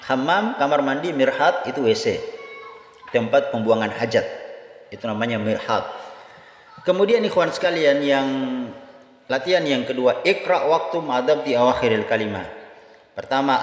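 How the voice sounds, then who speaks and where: A man with an Indonesian accent giving a language lesson, reading phrases aloud, repeating them and explaining them didactically. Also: medium pitch. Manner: didactic, repeats phrases and asks himself questions. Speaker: Arabic language teacher. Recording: echoey room with long reverb.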